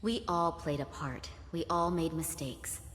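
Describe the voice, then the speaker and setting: A woman's voice: low-pitched, a little slow, fairly bright tone. An actress playing an earnest, slightly wry middle-aged mother in game cutscene dialogue. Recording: long echoing hall, faint noise.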